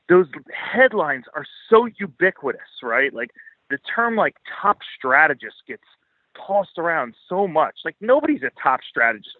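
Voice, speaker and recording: A man's voice: fairly high pitch, dry recording, phone-quality sound. Blunt, profane man in a dry recording. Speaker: podcast guest, financial commentator. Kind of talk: casual interview talk, opinionated commentary.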